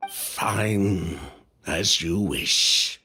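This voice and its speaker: An actor playing a smooth-talking, condescending merchant who makes veiled threats in a video game cutscene. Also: male voice, low voice, sharp tone, slight background noise, heavily acted. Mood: resigned. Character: smooth-talking scheming merchant brother, middle-aged.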